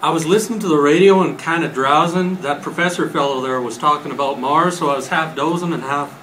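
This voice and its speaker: A man playing a rustic, folksy farmer, halting and rambling as he tells his story in a radio play. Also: on the high side, a bit bright, close to an everyday voice. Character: folksy, rambling male farmer being interviewed. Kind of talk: 1930s radio drama acting. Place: hotel conference room, panel table. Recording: slightly noisy live room recording.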